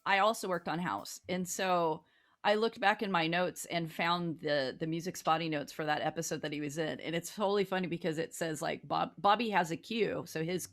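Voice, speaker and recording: A woman's voice: on the low side, fairly quick. An American woman hosting a casual comedy talk show, playful and self-deprecating in her banter.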